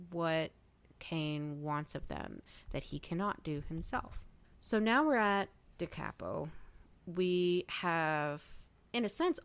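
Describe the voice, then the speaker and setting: A woman with a smooth, slightly low voice talks through her ideas at a slow pace. She is thoughtful and speculative, like a panelist on a casual fan podcast. The recording is close and dry. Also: no room sound.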